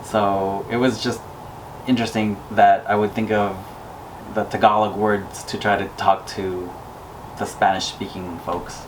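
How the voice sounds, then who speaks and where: A man's voice: lowish voice, level tone, a little slow, distant mic, a little hiss or hum, low-quality recording. A man whose first language is Cebuano, co-hosting a casual travel chat. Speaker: travel podcast co-host. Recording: off-mic, guitar music behind. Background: acoustic guitar music.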